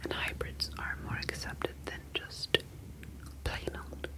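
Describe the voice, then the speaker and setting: A woman playing a gentle, reassuring humanoid ocean alien in a soothing, formally phrased ASMR sci-fi roleplay. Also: slow, close to an everyday voice, poor audio. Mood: soft, attentive.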